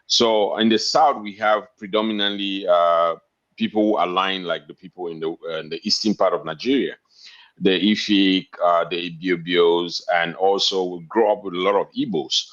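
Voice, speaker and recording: A man in his fifties with a Cameroonian accent, talking politely and haltingly as an interview guest. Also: low-pitched, fairly even intonation, fairly bright tone. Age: 50s. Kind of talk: casual interview talk. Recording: dull, lo-fi internet call audio.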